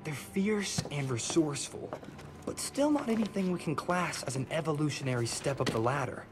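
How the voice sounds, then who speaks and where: A lofty scholar of monsters in a video game, acted by a man, with a fairly high voice and a wordy, theatrical, self-important delivery. Music is prominent in the background. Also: lively intonation, normal speed, natural voice. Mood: lecturing. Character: pompous, erudite male monster-hunting scholar.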